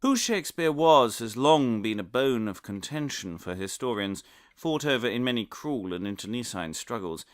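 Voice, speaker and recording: A pompous male academic delivers a comic audio-drama lecture in a very low voice. His intonation is highly animated, lurching through theatrical emphasis and earnest digressions. The sound is dry and close, with the muddled self-importance of an obsessive conspiracy scholar. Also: no room sound.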